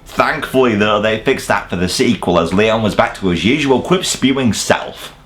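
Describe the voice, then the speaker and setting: A man's voice: on the low side, lively intonation, moderate pace, a bit bright, faint music behind. A man hosting a countdown review, delivering semi-scripted commentary in a jokey, casually sweary way. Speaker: video reviewer and host.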